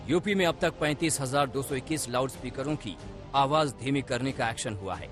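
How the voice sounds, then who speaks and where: A man's voice: mid-range voice, brisk, soft background music. A man reading a dramatic scripted news report in an emphatic, punchy manner.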